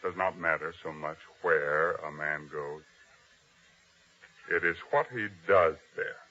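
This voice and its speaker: An actor playing a grave, resolute officer of a biblical king in a 1950s radio drama, speaking solemnly and deliberately. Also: extremely low pitch, steady tone, sharp tone, a little hiss or hum, heavily acted. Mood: solemn, reflective. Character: repentant Roman-era officer of King Herod, grave and resolute. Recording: dull old radio broadcast recording.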